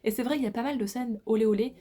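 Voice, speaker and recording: A woman's voice: on the high side, rapid-fire, dark tone. A woman in her twenties, a book vlogger, chatting casually and informally to camera, with slangy phrasing. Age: 20s.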